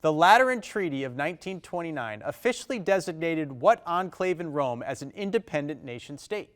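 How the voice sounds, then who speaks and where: This is a man hosting a quiz show in a TV studio, speaking with a Maine accent. His voice sits a little high, and he moves through questions at a brisk, upbeat clip, tossing off quick reactions to each answer. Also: animated, a bit bright. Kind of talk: reading quiz questions on air. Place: television studio.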